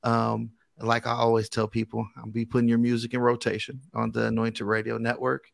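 A man's voice: mid-range voice, monotone, fairly quick, gravelly, no room sound, studio quality. A man with an American accent hosting a radio interview in an informal, encouraging, slangy way. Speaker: gospel radio host. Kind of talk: casual interview chat.

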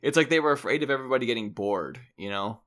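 A podcast co-host in a casual film review chat, giggly and animated, saying "like" a lot. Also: mid-range voice, quick pace.

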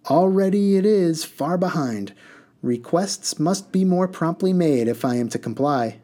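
A man's voice: on the high side, animated, unhurried, warm tone, voice pushed up. A man voicing a reckless, gleeful male mail coach driver who bellows and rambles in a singsong way.

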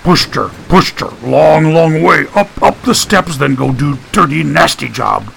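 Huge simple-minded man done by a male narrator, childlike, repetitive broken phrases, pitched up, storybook role. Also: unhurried, gravelly, a bit bright, slight background noise, full character voice, poor audio. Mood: childlike, earnest. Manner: repeats words, short broken phrases.

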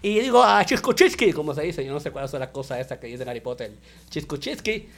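A man, co-hosting a casual show review chat, animated and mixing in English words. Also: a little high, quick pace. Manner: animated, mixes English words, laughs often. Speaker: podcast co-host.

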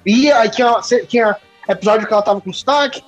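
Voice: mid-range voice, fast talker, faint music behind. A podcast co-host in casual chat, hesitant and repeating words as they start thoughts.

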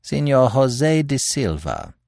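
A man's voice: mid-range voice, huge pitch swings, unhurried, creaky, a little mellow, no echo, studio quality, pitched above the performer's own voice, exaggerated voice. A man voicing a courteous, anxious police commissioner in an audiobook, formal and businesslike.

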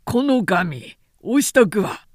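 A woman's voice: medium pitch, big pitch swings, slow, no echo, clean studio sound. A woman voicing a boisterous, confident male warrior general with emphatic, old-fashioned speech in an audiobook reading. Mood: confident, eager.

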